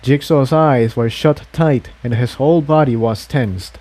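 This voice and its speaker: A man's voice: mid-range voice, unhurried, a little mellow. A man reading an adventure story aloud as a scripted narration.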